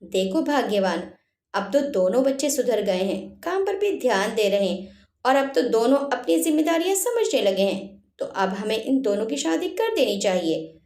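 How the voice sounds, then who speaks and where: A woman's voice: on the high side, big pitch swings, moderate pace, darkish tone, reverberant. A woman voicing a doting but firm father, a village headman, in a told story. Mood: pleased.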